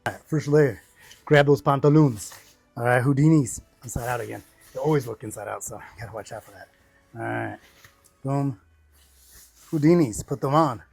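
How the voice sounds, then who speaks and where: A man with an average-pitched voice chats casually to camera as he gets dressed at home. His talk is slangy and jokey, broken into short bursts with punchy exclamations and catchphrases. Faint music plays behind him. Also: moderate pace, gravelly, long pauses, darkish tone, breathy edge. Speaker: vlogger demonstrating his cycling rain gear. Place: indoors at home.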